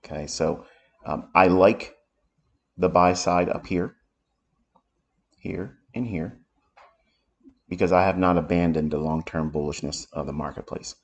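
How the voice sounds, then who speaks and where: A man with a raspy voice from allergies, giving unhurried live commentary as he explains market charts. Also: deep voice. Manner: unhurried, thinking aloud while explaining charts. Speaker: trading educator. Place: home office.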